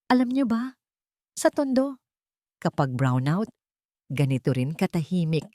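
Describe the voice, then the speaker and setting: Lady narrator doing a patient humble nanny, soft and polite, mellow, audio drama style. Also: low-pitched, a little slow, no room sound, clean studio sound. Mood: soft, wistful. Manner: calm, soft-spoken, polite. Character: gentle, patient young nanny, humble and unshaken.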